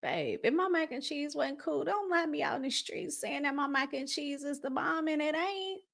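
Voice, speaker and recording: A woman's voice: high-pitched, expressive, normal speed, creaky, a bit bright. An American woman hosting a relationship advice channel, talking casually and emphatically in colloquial language and drawing out her key words. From United States. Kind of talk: casual advice talk with acted-out examples.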